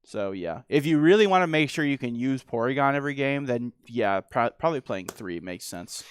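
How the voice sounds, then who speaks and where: A man, a guest player chatting casually while playing a card game, his voice going hoarse. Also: medium pitch, moderate pace, no room sound. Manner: relaxed, lots of "like" and "dude".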